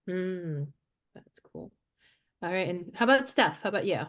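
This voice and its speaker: A woman's voice: fairly low pitch, animated, normal speed, fairly bright tone. Woman moderating a casual panel.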